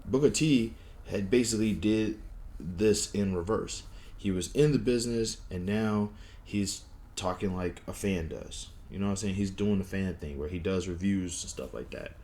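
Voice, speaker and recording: A man's voice: low voice, normal speed, warm tone. A young man with a lisp and a stutter, rambling through a casual opinion monologue with many fillers and restarts. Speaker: wrestling vlogger.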